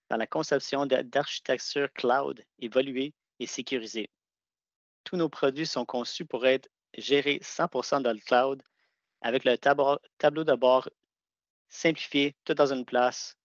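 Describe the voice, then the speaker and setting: A man's voice: medium pitch, no echo. A man giving a product presentation over a video call, speaking conversationally with hesitant restarts. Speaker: sales engineer presenting products. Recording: dull, dry video-call audio.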